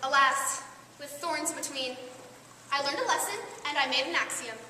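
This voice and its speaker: A woman's voice: sits high, moderate pace, sharp tone. A young woman playing a curious, self-important, romantic newly created woman in an earnest theatrical stage monologue. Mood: proudly observant. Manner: earnest, musing, declaiming to an audience. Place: large indoor hall, live stage play. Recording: distant, echoey hall with clear noise.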